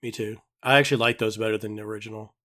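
A man's voice: lowish voice, fairly even intonation, very fast, sharp tone, no room sound, clean studio sound. A man hosting a podcast in casual chat, easygoing and agreeable. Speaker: podcast host and producer.

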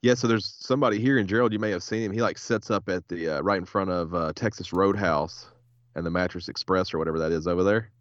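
Low-voiced sick man hosting a podcast. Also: fast, no echo, highs cut off. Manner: laid-back, joking, says "hell yeah" often. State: sick with a head cold. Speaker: podcast host. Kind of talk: casual chat.

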